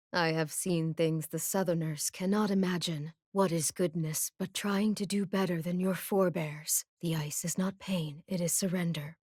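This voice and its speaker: A woman's voice: fairly low pitch, dry recording, studio quality, close to an everyday voice. A woman playing a proud, resolute young warrior queen of a frozen northern tribe in a video game, with a solemn, declamatory delivery. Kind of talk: video game character voice lines.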